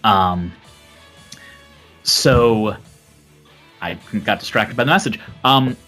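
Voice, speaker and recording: A man's voice: lowish voice, slow pace, bright, forceful tone. A man speaking on a home microphone during a casual gaming podcast panel. He rambles through his points with restarts and sometimes loses his thread. Faint music plays behind him.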